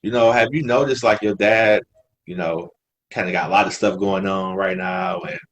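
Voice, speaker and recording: A man's voice: deep voice, fairly even intonation, normal speed. A man as a podcast guest in casual conversation, telling stories in an informal, slangy way and acting out quoted dialogue. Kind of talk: casual storytelling chat.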